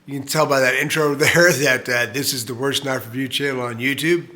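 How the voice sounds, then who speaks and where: A man's voice: mid-range voice, steady tone, fairly quick, sharp tone. A middle-aged man hosting a casual knife review channel, rambling and self-mocking, full of fillers and jokes.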